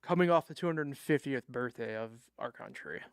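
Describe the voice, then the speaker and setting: A man's voice: mid-range voice, sing-song, normal speed, smooth, no room sound, clean studio sound. A young man co-hosting a casual sports chat, talking loosely with lots of fillers and teasing his co-host. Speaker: podcast co-host.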